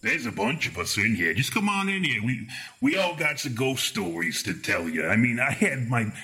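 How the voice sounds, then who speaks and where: A man voicing a folksy, excitable, rambling neighbour in a tabletop game. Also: mid-range voice, normal speed, voice pushed down. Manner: rambling, colloquial. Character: folksy, excitable haunted neighbour beckoning people inside.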